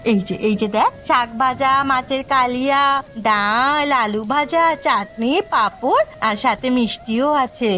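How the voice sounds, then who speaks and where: A woman voicing a grasping village wife and doting mother in a cartoon story, chatty and sharp-tongued. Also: high voice, measured pace, soft background music. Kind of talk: Bengali cartoon story voice acting.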